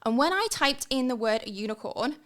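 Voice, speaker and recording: A woman in her thirties with an English accent, giving an energetic, emphatic podcast talk as a guest consultant. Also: on the high side, normal speed. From England. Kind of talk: sponsored podcast monologue.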